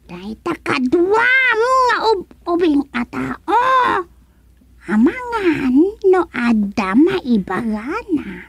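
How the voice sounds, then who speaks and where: A woman playing a vengeful, gloating termite queen in a comedic radio drama, with a shrill, theatrical, drawn-out delivery. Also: sing-song, vocal fry, dry recording, exaggerated voice. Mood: accusing, wailing.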